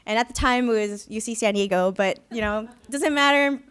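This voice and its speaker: A woman's voice: fairly high pitch, moderate pace, fairly bright tone, clear, resonant tone. Young woman giving earnest personal testimony. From Philippines. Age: young adult. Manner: earnest, reflective storytelling with light self-deprecating humor. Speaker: student activist speaker. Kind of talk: personal testimony speech at a conference. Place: conference hall.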